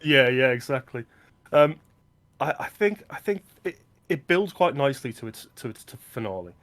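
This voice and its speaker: A man's voice: medium pitch, animated, moderate pace, fairly bright tone. A man co-hosting a film podcast, chatting eagerly about favourite scenes. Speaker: podcast co-host. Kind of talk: casual film discussion.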